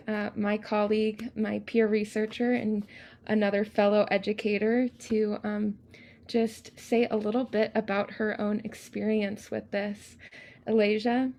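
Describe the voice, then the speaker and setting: Creaky, even-toned woman hosting. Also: medium pitch. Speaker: host, student researcher. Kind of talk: unscripted panel introduction.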